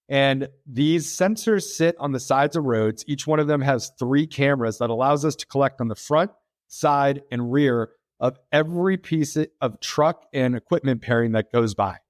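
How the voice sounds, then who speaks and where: A middle-aged American man talking through his work as a podcast guest, mid-pitched and easygoing. He explains at length, circling back with fillers and restarts. The sound is close and dry, with little room. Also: no echo. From United States. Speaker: podcast guest, freight tech founder. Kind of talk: casual interview talk.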